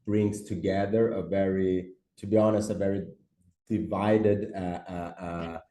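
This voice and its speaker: A middle-aged man from Sweden, a startup CEO answering interview questions in a halting way full of fillers and repeated words. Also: sits low, flat delivery, mellow. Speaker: startup CEO, podcast guest. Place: office. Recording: roomy echo on a call.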